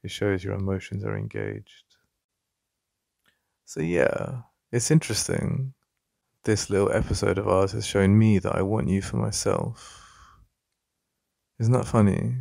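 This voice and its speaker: A man with an English accent playing a jealous, possessive yet vulnerable boyfriend in an intimate ASMR roleplay, halting and conversational with a gravelly voice. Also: on the low side, very animated, darkish tone, dry recording, clean studio sound, exaggerated voice. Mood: possessive, tender. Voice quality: gravelly, creaky. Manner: halting, repeating himself, conversational. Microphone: close, dry studio-style mic.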